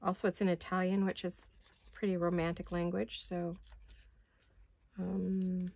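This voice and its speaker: Older woman with a gravelly, raspy voice. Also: fairly low pitch, level tone, a little slow, darkish tone, no room sound. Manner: rambling, chatty, thinks aloud while working. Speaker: craft vlogger. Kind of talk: casual crafting chat. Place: home craft studio. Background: neighborhood garbage-day banging.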